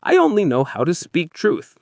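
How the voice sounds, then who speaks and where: A man's voice: on the high side, wildly swooping pitch, normal speed, creaky, no room sound, clean studio sound, full character voice. A man voicing a humble, earnest, anxious peasant who speaks hesitantly and deferentially, with stammers, in an audiobook story. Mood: earnest, nervous.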